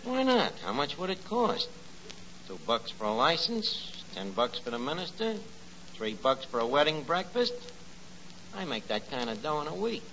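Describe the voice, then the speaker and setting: An actor playing a pretentious, dim, lovestruck tavern manager in 1940s radio comedy, mangling highfalutin phrases. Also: male voice, sing-song, normal speed. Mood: wooing. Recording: muffled 1940s radio recording with hiss.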